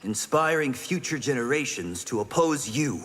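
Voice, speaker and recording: A man playing an earnest, idealistic young hero in a fighting game, calm and principled. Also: mid-range voice, normal speed. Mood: calm resolve. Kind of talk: video game fight intro banter. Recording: hall reverb, clear noise.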